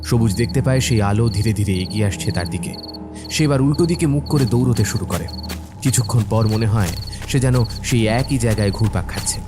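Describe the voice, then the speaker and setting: A man's voice: lowish voice, fairly quick, music in the background. A man narrating a scripted horror cartoon as a dramatic storyteller.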